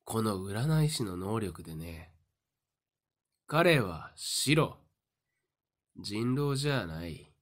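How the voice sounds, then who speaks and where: A man playing a sly, smug fortune-teller in a voice drama, theatrical and condescending with a taunting calm. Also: fairly low pitch, extremely slow, pauses often, exaggerated voice. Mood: smugly calm. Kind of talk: Japanese voice drama acting.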